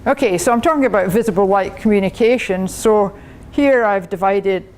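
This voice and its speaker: A woman's voice: medium pitch, normal speed, darkish tone, slight background noise, poor audio. Chatty Scottish woman giving a talk. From Scotland. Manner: chatty, laughs often, many restarts. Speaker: guest speaker, professor. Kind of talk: technical talk to a radio club.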